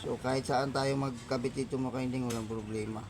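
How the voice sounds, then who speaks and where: Loud traffic-like ambient noise surrounds a man narrating a hands-on repair. His delivery is flat and halting, with frequent repetition. He speaks casually to his viewers at a middle pitch. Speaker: electronics repair vlogger.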